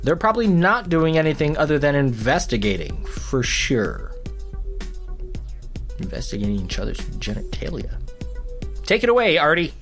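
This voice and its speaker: A man's voice: on the high side, sing-song, normal speed, long pauses, a bit bright, soft background music. Pompous, swooping comedy news anchor played by a man. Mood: smirking, innuendo. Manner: pompous, sarcastic. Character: snide, self-important, flippant news anchor. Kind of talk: comedy newscast acting.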